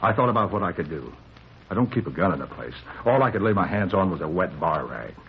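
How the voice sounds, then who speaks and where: An actor playing a tough, self-justifying American bar owner shaken by a robbery, in clipped, streetwise 1950s radio police drama style. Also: male voice, deep voice, big pitch swings, fast talker. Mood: animated recounting. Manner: clipped sentences, boastful, defensive, streetwise slang. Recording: muffled, hissy 1950s radio recording.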